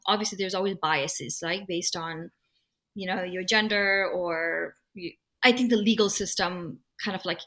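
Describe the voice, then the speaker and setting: A woman from Nepal talking in a casual panel discussion, animated and emphatic, quick to laugh at herself. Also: mid-range voice. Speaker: podcast panelist.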